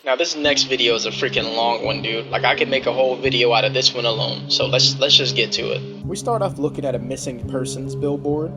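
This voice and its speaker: Young man recapping over loud music. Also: medium pitch, a little fast, few pauses. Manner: slangy, incredulous asides. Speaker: video commentator. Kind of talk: casual story recap commentary. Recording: loud music bed under voice. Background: loud background music.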